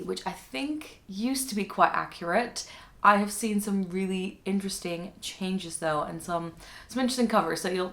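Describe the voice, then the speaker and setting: Young woman, from the Netherlands, native Dutch speaker, medium pitch, informal chatty delivery, casual book vlog host. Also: creaky. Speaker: book reviewer and video host.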